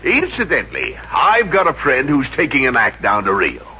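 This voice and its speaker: An actor playing a meek, henpecked middle-aged American boss in a 1950s radio comedy, hesitant and stammering. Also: male voice, medium pitch, wildly swooping pitch, normal speed, bright, forceful tone, slightly rough, heavily acted. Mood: agitated, resolute. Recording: muffled 1950s radio recording.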